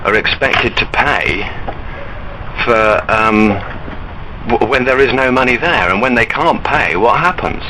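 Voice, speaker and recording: A man calling an enquiry line and arguing his points in an earnest, insistent, halting way. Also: lowish voice, bright, forceful tone. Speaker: caller to a bank enquiry line. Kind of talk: argumentative phone discussion. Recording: recorded phone call with background noise.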